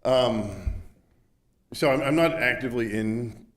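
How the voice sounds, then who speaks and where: A man who owns a local business, speaking at a city meeting in a hesitant way with many pauses and fillers. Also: mid-range voice. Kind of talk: unscripted public comment.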